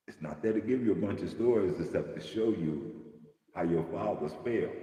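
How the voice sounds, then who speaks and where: Very deep gravelly man preaching, echoey room. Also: dark tone. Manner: preachy, builds to impassioned emphasis. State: losing his voice. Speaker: host of a livestream talk. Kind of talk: casual spiritual conversation.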